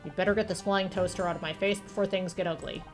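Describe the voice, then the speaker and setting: A woman voicing a gruff, short-tempered old police detective with a curt, sardonic manner in a video game. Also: medium pitch, fairly even intonation, quick pace, music in the background, close to an everyday voice.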